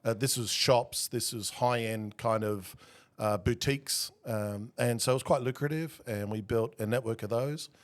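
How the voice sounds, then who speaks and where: A man in his forties, born in Argentina and raised in Australia, telling his story as a podcast guest in a relaxed, self-deprecating way with many fillers. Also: medium pitch, normal speed. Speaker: guest, executive coach. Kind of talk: casual interview talk.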